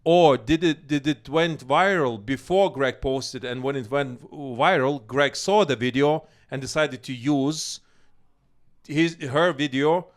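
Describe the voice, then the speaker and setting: A man co-hosts a casual talk. His voice sits at a middle pitch. He speaks haltingly, often circling back to repeat a phrase. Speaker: co-host of a video channel. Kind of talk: casual discussion.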